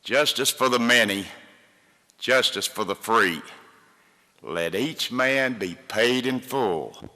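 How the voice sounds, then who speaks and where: A man's voice: medium pitch, slow pace, bright, forceful tone. A man speaking at his father's memorial, giving remarks and sayings to a gathering in a large hall. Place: large indoor hall before an audience. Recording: echoey hall with long reverb.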